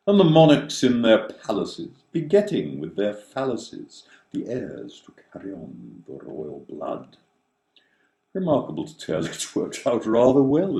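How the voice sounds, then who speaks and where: A man reciting comic rhyming verse theatrically, in a wry, mock-grand manner with drawn-out dramatic phrasing. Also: on the low side, vocal fry, mellow. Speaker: author reading own satirical verse.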